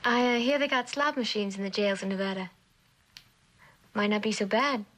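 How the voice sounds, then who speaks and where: An actress playing a tough young American drifter, slangy, defensive and wisecracking, in a mid-century crime drama. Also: female voice, mid-range voice, moderate pace, fairly bright tone, natural voice. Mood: bitter, guarded. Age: young adult. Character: tough, defiant young female drifter with petty-crime record.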